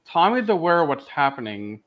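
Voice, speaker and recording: Muffled lo-fi recording, guy with a highish voice chatting on a review podcast, emphatic. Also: very animated, brisk. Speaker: podcast co-host. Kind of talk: casual discussion and review.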